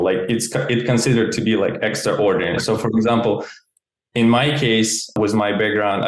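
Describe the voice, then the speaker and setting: Casting: male interview guest from Ukraine, Eastern European accent, non-native English, lowish voice, informal and chatty, roomy echo. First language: not English. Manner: informal, peppers speech with "like". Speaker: interview guest, gymnastics coach and QA engineer. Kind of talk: casual conversational interview. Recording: roomy, echoey sound.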